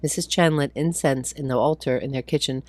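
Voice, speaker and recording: A woman's voice: extremely low pitch, fairly even intonation, fairly quick, dry recording. A woman reading a short story aloud as a scripted narrator. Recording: faint background noise.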